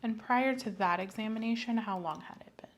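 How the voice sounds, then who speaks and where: A soft-spoken woman playing a doctor in an ASMR medical roleplay, asking methodical questions in a reassuring, apologetic way. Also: fairly high pitch, fairly quick. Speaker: ASMR host playing a doctor giving an eye exam.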